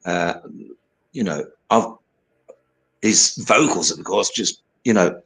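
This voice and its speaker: Older UK male guest, low baritone, rambling, filler-heavy, casual interview. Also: slow, fairly bright tone, low-quality recording. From United Kingdom. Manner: rambling, hesitant, many fillers and "you know". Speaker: guest singer-songwriter.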